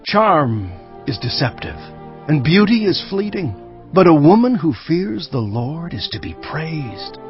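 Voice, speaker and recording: A man playing an earnest royal sage, reciting a parent's counsel from scripture in a deliberate, declamatory way. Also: a little high, big pitch swings. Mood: admiring, measured. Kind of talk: dramatised scripture reading. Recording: dull lo-fi audio with background music.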